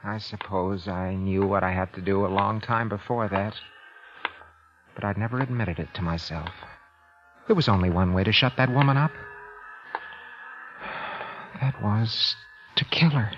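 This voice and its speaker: Man playing henpecked husband, resigned, confiding, low pitch, 1940s radio play, dull vintage sound, music underneath. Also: moderate pace, lightly acted. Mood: brooding, grim. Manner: weary, resigned, brooding confession. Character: henpecked, weary, brooding bank auditor husband. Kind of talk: 1940s radio drama first-person narration. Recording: muffled old radio recording.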